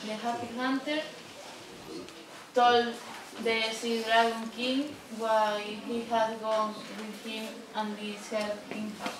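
A young woman reading out scripted narration for a puppet show in a halting way. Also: fairly high pitch, flat delivery. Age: teenager or young adult. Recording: echoey room from far off, loud audience noise.

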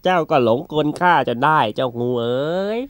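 A man's voice: fairly high pitch, lively intonation, moderate pace, no echo, lightly acted. A man voicing a brave, wily turtle with a confident, teasing manner in a children's fable. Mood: gloating, teasing. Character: brave, wily, unflappable turtle who outsmarts bullies. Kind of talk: children's animal fable character acting.

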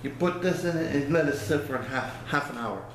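Middle aged guy rambling into his webcam, kinda high voice, super echoey little room. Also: a little fast. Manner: rambling, folksy, loses his words. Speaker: vlogger. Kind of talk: casual product review vlog. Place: small indoor room by a plain wall. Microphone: webcam at close range. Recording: very echoey room, faint hiss.

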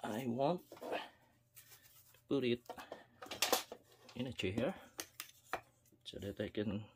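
A man casually narrating his own hands-on fishing rod repair to viewers, talking to himself between steps at an unhurried pace. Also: medium pitch, background noise. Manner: unhurried, self-talk with pauses, addresses viewers as guys. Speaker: fishing video host. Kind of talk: casual how-to narration while working. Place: indoors at a wooden table.

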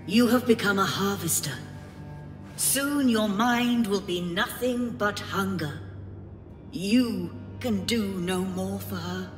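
A woman playing a solemn, possessive otherworldly being in a video game, speaking in a measured, stately way with cold tenderness. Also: medium pitch, natural voice. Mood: cold, insistent. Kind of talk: dramatic video game voice acting. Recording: loud game music behind the voice.

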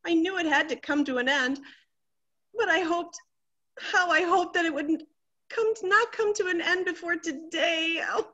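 A woman's voice: very high-pitched, moderate pace, sharp tone, highs cut off. A woman playing a vain, anxious middle-aged wife in a stage comedy, melodramatic and fluttery. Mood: distraught, wailing. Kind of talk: theatrical stage comedy acting.

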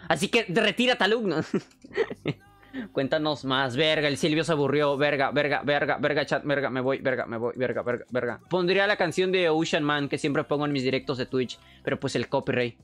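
A young man from Peru with a Mexican Spanish accent, chatting casually on a live stream, joking, excitable and full of slang. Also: a little high, moderate pace. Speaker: streamer and animator.

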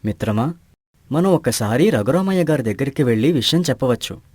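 A man voicing a level-headed village friend who asks patient questions in an animated moral story, reassuring and inquisitive. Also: medium pitch, brisk, no echo, clean studio sound, close to an everyday voice. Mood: calm, tactful.

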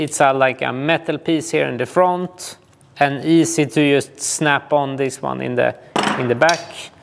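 A man, informally explaining outdoor gear to camera in a hesitant, halting way. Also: a little high, creaky. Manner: hesitant, halting delivery with frequent pauses. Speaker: ski touring guide and instructor. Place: small indoor gear shop room.

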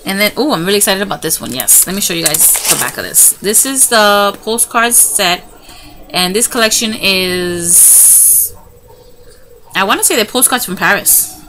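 A chatty, excitable woman shows her craft haul to a camera at home, talking at an ordinary middle pitch. A clear household hum runs behind her voice, and the recording sounds like a simple home setup. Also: moderate pace. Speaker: craft vlogger. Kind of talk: casual show-and-tell unboxing. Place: home room by a window. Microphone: camera in front of her, hands in frame. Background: TV and children's noise.

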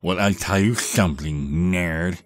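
A man's voice: deep voice, unhurried, soft background music. Nerdy young vampire played by a man: whiny, pedantic know-it-all, talking down to everyone in a goofy roleplay. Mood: smug, argumentative. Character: nerdy, dorky young male vampire, petulant Star Wars know-it-all. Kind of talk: goofy character roleplay monologue.

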